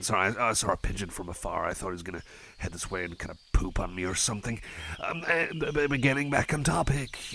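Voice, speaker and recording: Guy doing a shy friendly cyborg asmr, croaky voice, rambles and stammers, faint noise behind him. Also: on the low side. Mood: flustered, apologetic, then slow, hypnotic. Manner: hesitant, rambling, stammering, easily sidetracked. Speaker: ASMR roleplayer. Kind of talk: personal attention ASMR roleplay.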